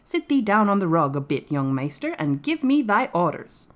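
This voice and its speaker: A woman's voice: on the low side, very animated, normal speed. A woman voicing a crabbed but tender old male gardener with a Yorkshire accent, dry and shrewd, in a storybook. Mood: dry exultation.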